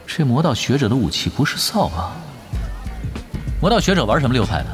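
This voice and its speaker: A man playing a laid-back, confident veteran gamer in an audio drama, with casual, unhurried assurance. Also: mid-range voice, very animated, a faint crowd, lightly acted. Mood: assured. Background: faint music.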